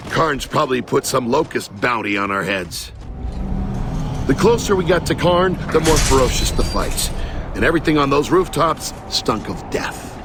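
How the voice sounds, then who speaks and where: An actor playing a wry, battle-worn lieutenant leading a squad in a video game, with a sardonic and defiant way of talking. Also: male voice, mid-range voice, lively intonation, moderate pace, noisy background, close to an everyday voice. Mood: urgent, commanding. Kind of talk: video game acting, combat orders and recounting narration.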